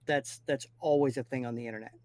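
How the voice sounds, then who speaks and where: A man's voice: mid-range voice, steady tone, fast, a little mellow, no echo, clean studio sound. A man in casual, opinionated talk, blunt and emphatic, laying out his points firmly.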